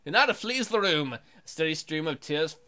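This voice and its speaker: Bright-voiced, animated woman playing a game. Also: low voice, moderate pace. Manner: playful, sarcastic. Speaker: let's play host. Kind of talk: game playthrough, reading and casual commentary.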